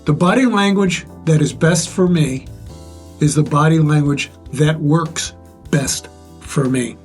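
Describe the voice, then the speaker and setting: An older man with a relatively loud voice, reflectively sharing life stories and advice in measured, pause-filled speech. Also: on the high side, soft background music. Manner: measured, pausing often with "uh". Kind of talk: reflective conversational storytelling.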